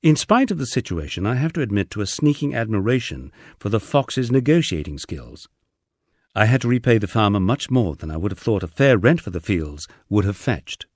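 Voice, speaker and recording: Male audiobook reader, low-pitched, lively, dry close sound, memoir storytelling. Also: no room sound. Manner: measured, expressive storytelling. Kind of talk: first-person memoir narration.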